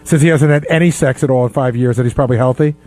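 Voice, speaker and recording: A man's voice: medium pitch, fairly even intonation, rapid-fire, a little mellow. A man in casual radio banter, talking in a probing, questioning way. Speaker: radio show regular.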